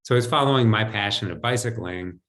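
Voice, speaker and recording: Low-pitched American man telling his story. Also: quick pace, a bit bright. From United States, New Jersey. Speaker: podcast guest, financial advisor. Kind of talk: conversational personal storytelling. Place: home, indoors. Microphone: video call computer mic.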